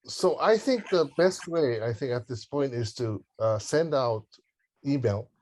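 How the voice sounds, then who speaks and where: Halting middle-aged man on a group call. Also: medium pitch, expressive, darkish tone. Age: 50s. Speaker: organiser leading a group planning call. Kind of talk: casual group call discussion.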